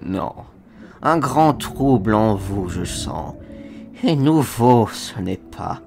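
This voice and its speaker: An ancient, small alien sage in a dramatic comic dub. The voice is very gravelly and moves slowly, with a lively rise and fall. He is wise and calm, placing his words in cryptic, inverted order. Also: fairly low pitch, soft background music, full character voice. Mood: grave, probing. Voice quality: croaky, gravelly. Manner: inverted word order, halting cryptic phrases.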